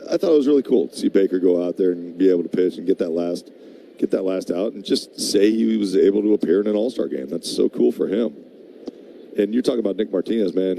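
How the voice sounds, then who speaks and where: A man's voice: low voice, creaky, mellow, rough recording. A man working as a baseball color commentator, chatting casually in the booth with ballpark ambience behind him. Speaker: color commentator, former pitcher. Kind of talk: casual baseball broadcast banter. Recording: faint ballpark background noise. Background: stadium ambience.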